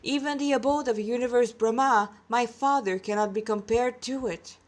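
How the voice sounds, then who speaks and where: Storybook sage, male figure played by a woman: kindly, stately, serene, slightly high voice. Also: normal speed, lightly acted. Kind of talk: storybook character reading.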